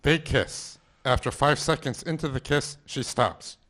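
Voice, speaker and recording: A man's voice: mid-range voice, measured pace, a bit bright. Guy reading stage directions, plain mid voice, matter of fact.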